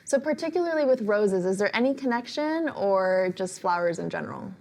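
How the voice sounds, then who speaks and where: A woman hosting a podcast interview, reading a scripted intro and then asking questions with lively enthusiasm. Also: medium pitch, fairly quick, gravelly. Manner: warm and enthusiastic, praising the guest. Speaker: podcast host.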